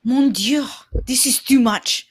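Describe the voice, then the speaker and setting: A woman's voice: high voice, takes it slowly, breathy edge, no echo, heavily acted. A young woman playing a fussy, self-assured Belgian male detective in a radio mystery reading. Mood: urgent. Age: young adult. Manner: theatrical, courteous, precise. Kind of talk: live reading of old-time radio mystery script.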